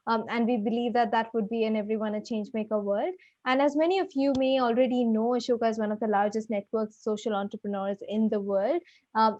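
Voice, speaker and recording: A woman giving a prepared, earnest and formal presentation as a program director, with hesitant pauses. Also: fairly high pitch, warm tone, dry recording. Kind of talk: prepared presentation at an online festival. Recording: dull, muffled lo-fi audio.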